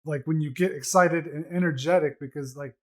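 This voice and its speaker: A man's voice: fairly high pitch, a little fast. A man co-hosting a casual podcast chat, explaining things at length in an easy, conversational way. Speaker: podcast co-host.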